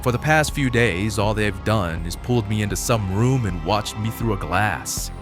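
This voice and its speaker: A man plays a jaded, blunt prisoner in an audio drama. His lowish voice moves in a lively way, streetwise and dismissive, with soft music behind. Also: moderate pace, lightly acted. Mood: explaining. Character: jaded, gruff-talking male fellow prisoner, reassuring but dismissive.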